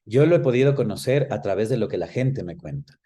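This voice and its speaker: Low, mellow-voiced Ecuadorian man. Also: expressive, a little fast, husky. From Quito, Ecuador. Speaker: interview guest. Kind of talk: reflective conversational reminiscence.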